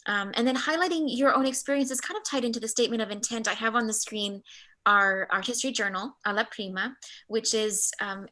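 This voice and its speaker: A woman's voice: on the high side, a little fast, sharp tone. A middle-aged woman whose first language is Spanish gives a chatty workshop talk as art history faculty, with frequent restarts. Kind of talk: workshop presentation.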